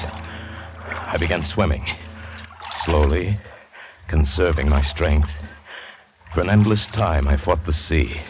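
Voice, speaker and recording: An actor playing a shipwrecked American big-game hunter who narrates his ordeal dramatically in an old-time radio drama. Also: a bass voice. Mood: weary, tense. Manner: dramatic, vivid storytelling. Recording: dull vintage radio recording, music behind.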